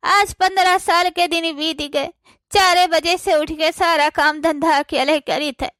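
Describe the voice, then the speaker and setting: A woman's voice: very high-pitched, steady tone, measured pace, a bit bright, no room sound, studio quality. A woman playing a quarrelsome, self-pitying village housewife in a cartoon comedy, complaining and scolding with an Awadhi accent. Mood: ailing, complaining.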